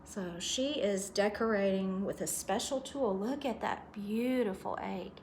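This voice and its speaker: A woman's voice: medium pitch, a little slow, a little mellow, a little hiss or hum. A woman storyteller reading a picture book aloud expressively to children, adding asides about the pictures.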